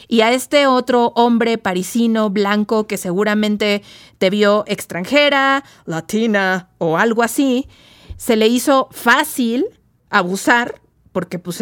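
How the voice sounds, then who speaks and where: Clear-voiced Mexican woman hosting. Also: mid-range voice, no echo, clean studio sound. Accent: Mexican Spanish. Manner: thoughtful, frank, occasional swearing. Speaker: host. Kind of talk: feminist discussion podcast.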